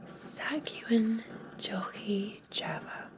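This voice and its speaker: A Scottish woman in a gentle, unhurried ASMR roleplay, whispering breathily as a café barista talking to a customer. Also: medium pitch, lively intonation.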